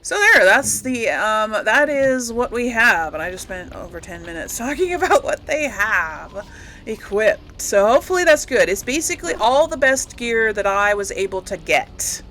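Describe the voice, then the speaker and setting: A woman's voice: fairly high pitch, sing-song, moderate pace, sharp tone, a little hiss or hum. A woman giving casual, rambling commentary as she plays a game, often correcting herself and lightly amused. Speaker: gaming host.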